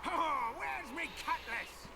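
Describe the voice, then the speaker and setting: High, gravelly, rowdy pirate. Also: male voice, slow pace, heavy background noise, full character voice. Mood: fired up. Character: rough, rowdy male pirate sailor cheering on his admiral. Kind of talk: shouted fantasy game crowd lines.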